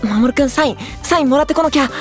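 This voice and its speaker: An actor playing a boyish, sweet and excitable young man in a Japanese anime-style voice drama. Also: very high voice, racing, faint music behind. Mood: excited.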